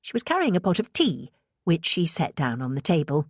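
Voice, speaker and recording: Low-pitched woman narrating suspense fiction. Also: wildly swooping pitch, brisk, breathy edge, no echo, studio quality. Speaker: audiobook narrator.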